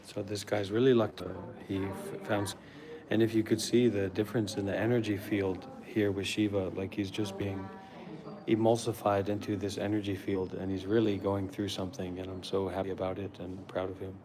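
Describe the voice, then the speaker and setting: A man's voice: sits low, little pitch movement, normal speed, a crowd in the background. An American man chatting casually and earnestly on a live stream, rambling from thought to thought. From Maryland, USA. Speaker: live-streamer at a meditation center. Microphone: phone. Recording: clearly noisy live phone stream.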